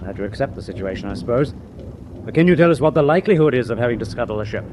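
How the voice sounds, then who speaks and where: An actor playing a level-headed British prisoner in a radio drama, probing in a colloquial way. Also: male voice, mid-range voice, expressive, rapid-fire, a little mellow, a little hiss or hum, natural voice. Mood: calm, probing.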